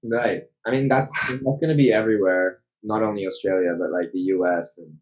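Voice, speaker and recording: A man's voice: lowish voice, fairly quick, darkish tone. A man hosting an interview, asking long rambling questions and agreeing briefly. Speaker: interview host. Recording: dull lo-fi sound.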